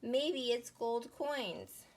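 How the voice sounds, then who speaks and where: An eager young animal from a children's storybook, acted out by a woman reader. The voice sits high, and it makes simple, childlike declarations with excitement. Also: extremely slow, heavily acted. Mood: eager, curious.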